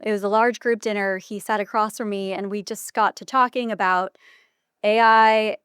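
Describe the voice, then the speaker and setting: A woman speaks as a podcast guest with a clear, resonant voice at medium pitch. She explains her work reflectively, in a dry recording. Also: steady tone, moderate pace, fairly bright tone, dry recording. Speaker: clinician and designer, podcast guest. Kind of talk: reflective interview talk.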